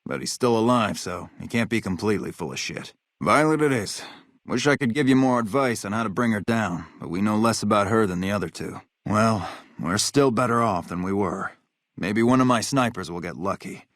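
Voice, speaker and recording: An actor playing a hard-bitten, vengeful military officer in a video game, blunt, profane and matter-of-fact. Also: male voice, lowish voice, expressive, moderate pace, a bit bright, dry recording, clean studio sound. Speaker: game character, army officer.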